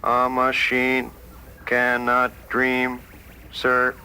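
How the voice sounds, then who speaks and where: Impassive computer, very sharp tone, dead flat, formal radio-drama delivery. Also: male voice, mid-range voice, drawn-out words, exaggerated voice. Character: emotionless, literal-minded courteous computer. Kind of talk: dramatized short story acting. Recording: noisy vintage radio drama recording.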